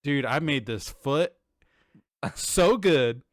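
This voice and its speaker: A man's voice: a little high, expressive, slow pace, no room sound. A man co-hosting a video game podcast in casual banter, joking and swearing freely. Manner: joking, sweary, laughs easily. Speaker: podcast co-host.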